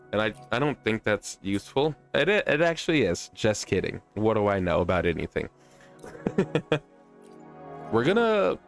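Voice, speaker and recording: A man streaming a video game with casual, chatty commentary, playful slang and a self-deprecating streak. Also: lowish voice. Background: music.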